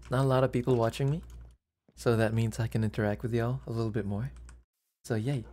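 A woman streaming a video game, chatting casually with viewers in a soft, gentle voice, often humming and giggling to herself. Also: very deep voice. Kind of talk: casual gameplay livestream chat.

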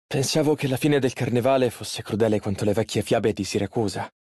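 A man's voice: mid-range voice, brisk, a little mellow, husky, dry recording, clean studio sound, natural voice. An actor playing a polite, earnest and easily flustered young male tailor in an Italian game dub. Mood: calm, reflective. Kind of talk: video game character voice lines, Italian dub.

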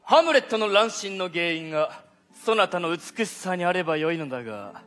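A man's voice: high-pitched, expressive, normal speed, fairly bright tone. An actor playing a flowery, scheming king, pompous and grandly theatrical, in a comic stage play. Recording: stage recording with music.

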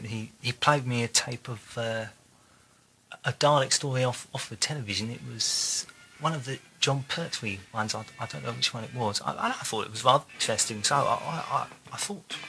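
A man reminiscing casually in an interview, in a halting, hesitant way. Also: lowish voice, creaky. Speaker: audio drama writer and contributor. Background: music.

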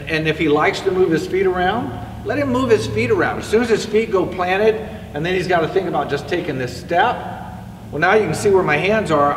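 A middle-aged man coaches hitting in a relaxed, chatty way, his voice sitting a little high for a man. He stands back from the camera inside a large indoor batting cage. The room gives a long, hollow echo, with a faint hum of ambient noise underneath. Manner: conversational, demonstrating with a bat while he talks. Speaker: hitting instructor. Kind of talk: spoken coaching lesson to camera. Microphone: far camera. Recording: echoey indoor facility, distant mic.

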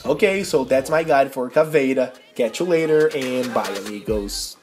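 A man's voice: mid-range voice, normal speed, soft background music. A man from Brazil with a Brazilian accent and Portuguese as his first language narrates a game guide in casual, enthusiastic, non-native phrasing. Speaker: video game guide creator. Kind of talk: casual tutorial narration over gameplay.

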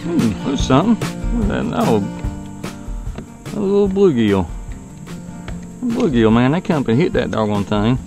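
A man in his thirties with a Southern US accent, talking casually about fishing. He has a relaxed, folksy manner, chatting to the fish as he reels them in, and later recaps his day from inside a truck. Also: medium pitch, a little slow, creaky, long pauses, music in the background. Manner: relaxed, folksy, talks to fish while reeling. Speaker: fishing vlogger. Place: kayak on a river outdoors, then inside a pickup truck. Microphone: action camera mounted on kayak, then dashboard camera.

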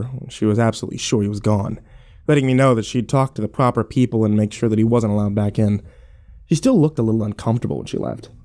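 A man's voice: on the low side, darkish tone, natural voice. An actor playing a weary, irritable hospital patient who tells his story in a sullen, sardonic first-person horror narration.

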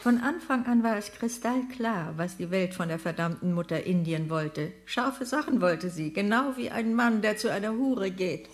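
A woman's voice: mid-range voice, animated, normal speed, few pauses, lightly acted. A woman as a blunt, irreverent mother. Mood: caustic. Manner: caustic, coarse, pronouncing. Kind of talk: radio drama acting.

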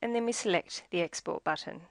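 A woman reading scripted instructional narration for a software tutorial in a measured, explanatory way. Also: lowish voice, dry recording.

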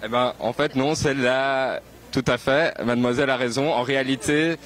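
A man's voice: medium pitch, fairly even intonation, moderate pace, a bit bright, soft background music. A young man conducting street interviews outdoors, explaining patiently with many fillers into a handheld mic. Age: young adult. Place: outdoors on a city square. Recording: faint street noise outdoors.

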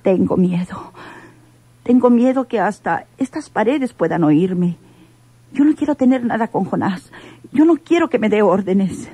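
A woman's voice: medium pitch, animated, a little slow, warm tone, slightly rough, no echo. An actress with a Mexican accent playing a frightened, pleading woman in a radio drama, speaking hesitantly, as if confessing. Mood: fearful, pleading. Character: frightened, pleading woman caught between dangerous men. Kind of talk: old-time radio drama acting.

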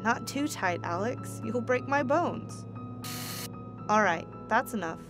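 A woman's voice: on the high side, expressive, slow. A woman playing a principled, concerned doctor in an audio drama, earnest and articulate. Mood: tender. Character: principled, concerned female doctor, insistent yet tender. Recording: music under the voice.

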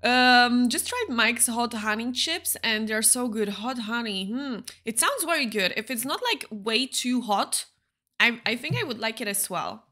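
A woman's voice: a little high, lively intonation, a little slow, bright, forceful tone. A young woman from Slovakia with a Slovak accent, chatting casually with her stream while she plays a game. She reads viewer messages aloud and answers them. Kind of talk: casual chat while gaming.

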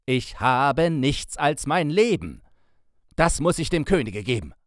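A man's voice: on the high side, big pitch swings, measured pace, no echo, clean studio sound, voice pushed up. A man voicing a desperate, loyal man who pleads for his friend's life in impassioned, declamatory cries from a classic ballad. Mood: terrified.

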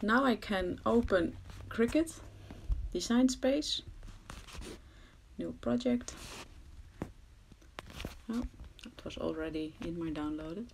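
A woman's voice: mid-range voice, animated, slow, creaky, long pauses, slight background noise, poor audio. A woman with a Dutch accent giving a casual, hesitant crafting tutorial, often dropping to a murmur. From Netherlands. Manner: hesitant, self-deprecating, drops to murmurs. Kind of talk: casual screen-recorded tutorial. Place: at someone else's home.

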